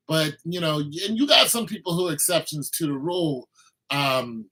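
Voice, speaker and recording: A man's voice: on the high side, bright, forceful tone, rough recording. A man in his mid-fifties chatting casually and rambling to viewers on a livestream while cooking. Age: 50s. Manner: laid-back, rambling, talks to viewers. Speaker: livestream host.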